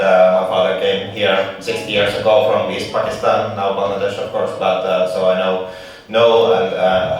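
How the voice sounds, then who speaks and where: A man's voice: lowish voice, a little hiss or hum. A man answering as a panelist, hesitant and full of fillers. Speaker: political candidate. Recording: distant mic in an echoey hall.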